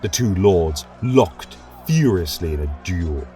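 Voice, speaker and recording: A man reading a fantasy story aloud as a narrator, in a measured, dramatic way. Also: low-pitched, lively intonation, mellow, faint music behind.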